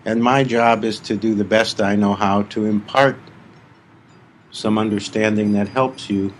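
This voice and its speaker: A man leading a live guided meditation as a spiritual teacher, speaking deliberately in short phrases with long pauses. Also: on the low side, fairly even intonation, slight background noise.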